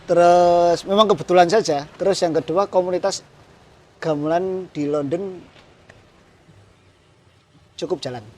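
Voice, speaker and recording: A man's voice: high voice, a little slow, long pauses, a little hiss or hum. A man from Central Java with a Javanese accent, chatting casually as a guest puppeteer and chuckling often. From Central Java, Indonesia. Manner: relaxed, mixes Javanese and Indonesian, chuckles often. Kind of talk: casual interview chat.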